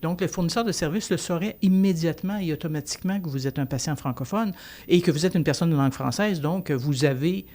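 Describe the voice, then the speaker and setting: A man, speaking a bit higher than most men. He explains things earnestly on a podcast panel, often circling back and restarting his phrases. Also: fast talker, darkish tone. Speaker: guest.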